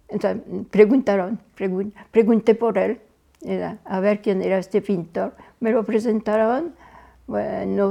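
An older woman with a native French accent reminisces in an interview. She speaks slowly, with a creaky, gravelly edge and a mellow, soft-toned voice. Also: mid-range voice. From France. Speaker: interview guest. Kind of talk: reminiscing in conversation.

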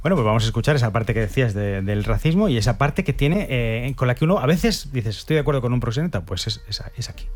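Man, radio host, rapid delivery, chatty storyteller, acts out quotes, interview. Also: mid-range voice, expressive, slight background noise.

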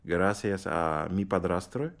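Very deep gravelly man, halting speech. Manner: halting, searching for words, many restarts. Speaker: guest pianist. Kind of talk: radio interview.

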